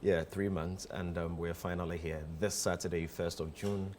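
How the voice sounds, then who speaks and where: Deep-voiced Ghanaian man in interview. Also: little pitch movement, moderate pace, darkish tone, slight background noise. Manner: playful, emphatic, repeats phrases. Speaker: guest, theatre director. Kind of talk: casual studio interview.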